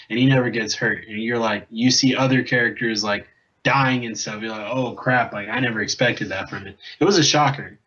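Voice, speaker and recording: A man's voice: medium pitch, a bit bright. Enthusiastic young man on a dull mic. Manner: enthusiastic, swears casually. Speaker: podcast co-host. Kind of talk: casual chat.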